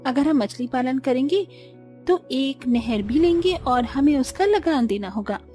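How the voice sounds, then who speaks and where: Woman as an earnest high-voiced village daughter-in-law. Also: animated, measured pace, mellow, faint music behind. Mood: earnest explaining. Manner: earnest, explanatory. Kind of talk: Hindi cartoon story dialogue.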